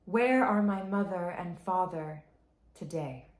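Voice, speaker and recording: A woman reads verse slowly and gravely, her voice sinking to a hush at the close of lines. The recording carries a roomy echo around her. She keeps an unhurried, mournful pace. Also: fairly low pitch. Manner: grave, mournful, drops to a hush at line ends. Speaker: family member reading a poem. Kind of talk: slow, emotional poetry reading.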